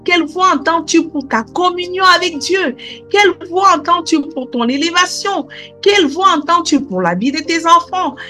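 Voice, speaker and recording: A woman's voice: very high-pitched, animated, normal speed, sharp tone, faint music behind. A woman preaching a sermon, asking repeated questions and punctuating her lines with alleluia and amen.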